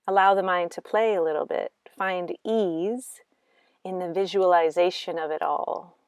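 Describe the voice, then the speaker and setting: Low-pitched woman guiding meditation. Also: steady tone, smooth, no echo, studio quality. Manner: slow, gentle instruction with long pauses. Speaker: meditation guide. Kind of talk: guided meditation narration.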